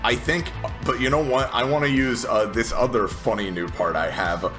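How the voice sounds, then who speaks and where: A man playing a tabletop game, casually declaring his moves in a wry, halting way. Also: medium pitch. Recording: clear music behind the voice.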